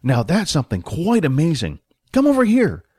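Guy doing a cheery proud stage manager for an audiobook, chatty, raised voice, super animated, dry recording. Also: on the high side, normal speed, slightly rough, no room sound, pitched above the performer's own voice, full character voice. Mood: proud, excited. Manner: eager, chatty, enthusiastic explainer. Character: cheerful, proud middle-aged male backstage general manager. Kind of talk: audiobook character voice.

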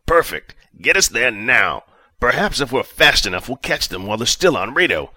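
An actor playing a vengeful, self-important ship commander in an audio story, imperious and menacing. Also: male voice, mid-range voice, big pitch swings, normal speed, sharp tone, dry recording, full character voice. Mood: impatient, commanding. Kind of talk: audio story character acting.

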